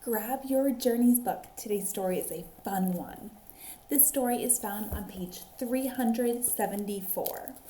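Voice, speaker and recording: A woman teacher reading a storybook aloud to young children in a deliberate, expressive way, recorded in a roomy space. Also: mid-range voice, slight background noise. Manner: deliberate, expressive, with drawn-out phrases. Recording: roomy echo on the voice.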